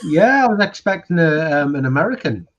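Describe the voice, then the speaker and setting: A man co-hosting a podcast, chiming in with quick, eager questions. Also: fairly high pitch, sing-song, fairly bright tone. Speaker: podcast co-host. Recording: dull lo-fi audio.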